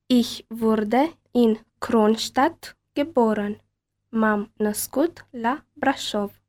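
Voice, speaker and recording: A woman reading a bilingual language-lesson dialogue and word list with careful, deliberate enunciation. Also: fairly high pitch, a little mellow.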